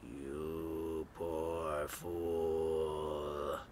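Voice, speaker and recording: A man voicing an inhuman thing from an open tomb in a horror reading, hollow, menacing and very slow. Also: level tone, background noise, exaggerated voice. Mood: cold menace. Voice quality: hollow, whispery, very low. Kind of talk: dramatic horror-story reading.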